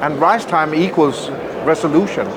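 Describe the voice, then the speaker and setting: Male product maker, somewhat high pitch, emphatic technical explainer, loud show-floor noise behind. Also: moderate pace. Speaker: loudspeaker maker, interview guest. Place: indoor audio trade show room.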